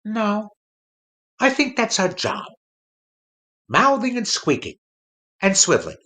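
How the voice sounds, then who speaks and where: A man's voice: sits high, wildly swooping pitch, slow, pushed and bright, full character voice. A man playing a disgruntled ventriloquist dummy, a sardonic showbiz veteran who gripes and wisecracks in a comic audio play. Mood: weary, wisecracking. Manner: griping, sardonic, emphatic.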